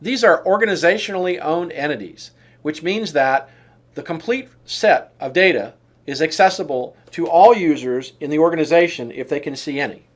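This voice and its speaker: Man, slightly high voice, scripted tutorial narration, measured and explanatory, software trainer delivery.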